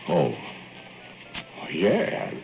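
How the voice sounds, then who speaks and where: Folksy small-town fellow, teasing, rural dialect, deep voice, lively pitch, tinny old radio sound, faint music. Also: male voice, drawn-out words, vocal fry, exaggerated voice. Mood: wondering. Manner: rural dialect, needling and playful. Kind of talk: 1940s radio acting.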